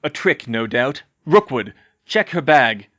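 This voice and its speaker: A man's voice: on the high side, lively intonation, moderate pace, pushed and bright, no echo. A man voicing a proud, cold nobleman who gives clipped, haughty commands. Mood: commanding.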